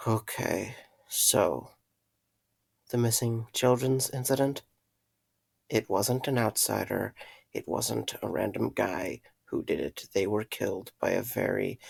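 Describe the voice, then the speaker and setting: A man acting an earnest, guilt-ridden man in an audio drama, with halting, serious delivery. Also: on the low side, vocal fry, a little mellow, slightly rough, no echo, exaggerated voice. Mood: grim. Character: earnest, guilt-ridden man confessing dark secrets to a friend.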